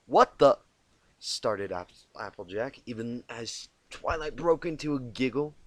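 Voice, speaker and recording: A man narrating a storybook tale as an amateur reader, halting and often repeating words he stumbles on. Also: mid-range voice, animated, no room sound. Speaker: amateur fan fiction reader. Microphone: inexpensive home microphone.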